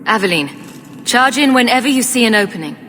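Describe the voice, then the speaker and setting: A woman's voice: fairly high pitch, expressive, a little fast, a bit bright. A woman playing a resolute young champion in a video game, firm, earnest and commanding. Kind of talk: dramatic video game voice acting. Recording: long reverb, music behind.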